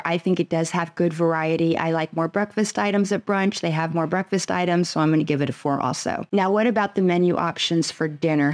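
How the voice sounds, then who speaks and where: A woman's voice: on the low side, darkish tone. A woman travel vlogger chatting casually with her husband, rating restaurants and leading with upbeat questions. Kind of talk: casual restaurant review chat.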